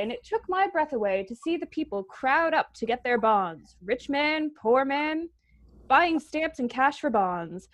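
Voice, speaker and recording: High-pitched, dry-sounding female radio announcer. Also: no echo. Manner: earnest, exhortative. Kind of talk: scripted 1940s radio war bond appeal.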